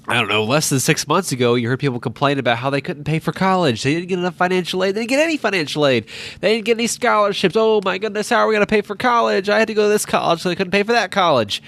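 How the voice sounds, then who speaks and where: A man's voice: high-pitched, expressive, fast talker, fairly bright tone, no room sound. A nineteen-year-old man with a stuffed-up nose, rambling through a casual solo podcast with many restarts and asides. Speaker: podcast host. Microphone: podcast microphone.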